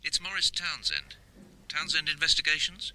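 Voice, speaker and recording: An actor playing a polite, businesslike private investigator in a naturalistic radio drama. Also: male voice, a little high, sing-song, moderate pace, crowd noise, low-quality recording.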